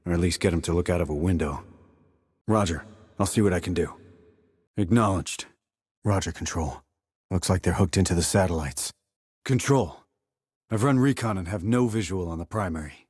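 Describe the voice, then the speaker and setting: An actor playing a terse, dry, confident military sniper in a video game, with a husky voice and clipped, controlled reports. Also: male voice, sits low, moderate pace, long pauses, darkish tone, no echo. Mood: businesslike. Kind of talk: scripted military game dialogue.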